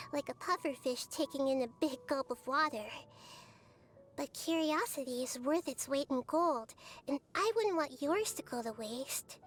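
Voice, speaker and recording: An actress voicing a wise, childlike little goddess in a video game, gentle and curious, speaking in a soft, reflective, precisely worded way. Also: female voice, sits high. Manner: soft-spoken, reflective, precise, storybook phrasing. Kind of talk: scripted game character monologue.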